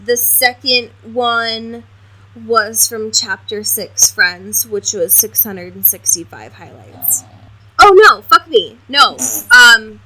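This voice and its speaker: Bright, sharp-voiced woman chatting casually. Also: fairly high pitch, takes it slowly, low-quality recording. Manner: wry, informal, peppered with mild swearing. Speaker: podcast co-host. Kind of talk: casual book discussion.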